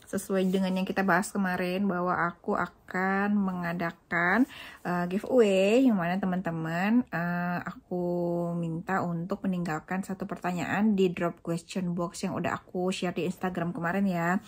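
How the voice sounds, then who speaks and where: A woman's voice: on the low side, steady tone, moderate pace. A young woman from Indonesia, a vlogger chatting casually at home as she answers viewer questions, with frequent fillers. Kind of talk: casual chat. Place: home in Japan.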